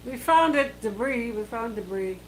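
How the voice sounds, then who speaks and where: A woman's voice: fairly high pitch, lively intonation, normal speed, a little hiss or hum, rough recording. A woman hosting a radio show in casual, colloquial banter, often speaking in short interjections. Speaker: radio host.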